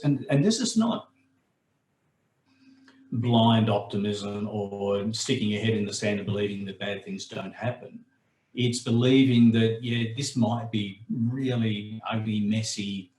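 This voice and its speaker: A man with a slightly croaky voice presenting a training webinar in a relaxed, conversational way with frequent fillers. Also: lowish voice, roomy sound, low-quality recording.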